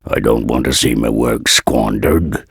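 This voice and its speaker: Very deep, gravelly old blacksmith. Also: male voice, unhurried, no echo, clean studio sound, full character voice. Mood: gruff, amiable. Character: gruff, weary but kindly old blacksmith. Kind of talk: video game character dialogue.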